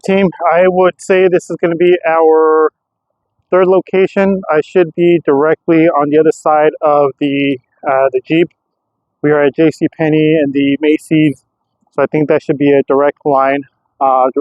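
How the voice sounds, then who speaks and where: A man's voice: on the high side, level tone, moderate pace, a little mellow. Man with a dull-sounding recording, casual. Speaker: vlogger testing radios. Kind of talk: casual field-test vlog talk.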